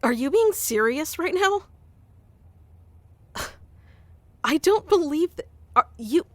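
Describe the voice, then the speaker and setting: A woman in an ASMR roleplay voicing a stubborn young woman boss, stern yet caring, speaking softly and intimately into a close microphone. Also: high voice, big pitch swings, slow, no echo, heavily acted. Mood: exasperated.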